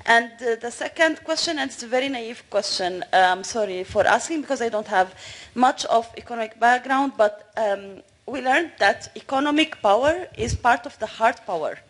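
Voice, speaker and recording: A woman's voice: a little high, animated, normal speed. A young woman with a Syrian Arabic accent, politely and a little hesitantly putting questions to a panel. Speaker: audience questioner, doctoral student. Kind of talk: asking questions at a panel discussion. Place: audience of a large hall.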